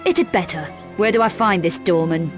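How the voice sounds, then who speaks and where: An actress playing a tough, self-assured young female adventurer in a video game, curt, sardonic and quietly threatening. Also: on the low side, brisk, music in the background, close to an everyday voice. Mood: hard, threatening. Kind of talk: video game cutscene acting.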